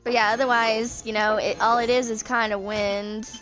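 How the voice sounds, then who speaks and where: Woman, twenties, slightly high pitch, casual gaming commentary, rambling, thinking aloud, music in background. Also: flat delivery, normal speed, a bit bright, low-quality recording. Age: 20s. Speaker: gaming streamer. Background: game music.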